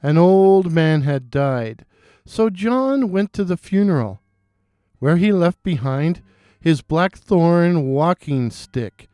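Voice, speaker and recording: A man narrating a scripted folktale in a deliberate, theatrical way, with weighted pauses. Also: on the high side, a little mellow, clear, resonant tone, no echo, clean studio sound. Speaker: storyteller.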